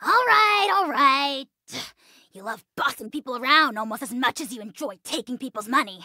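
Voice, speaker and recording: A woman's voice: high voice, lively intonation, normal speed, pushed and bright, dry recording, studio quality, exaggerated voice. A woman voicing a small, self-important dragon lord in a video game, pompous, petulant and theatrically taunting. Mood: grumbling, bossy. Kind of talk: video game character voice acting.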